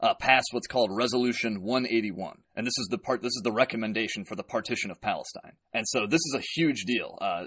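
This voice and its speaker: A man's voice: on the low side, a bit bright, breathy edge, no room sound. A man speaking as a podcast guest, explaining history in an earnest, detailed way. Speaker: podcast guest, political commentator. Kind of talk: explanatory interview talk on history.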